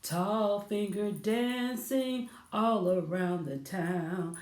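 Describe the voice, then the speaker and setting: A woman's voice: medium pitch, drawn-out words, a little mellow. Echoey, distant woman leading children's storytime. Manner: sing-song, coaxing, asks children to join in. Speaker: library storytime presenter. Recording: roomy, echoing sound, distant from mic.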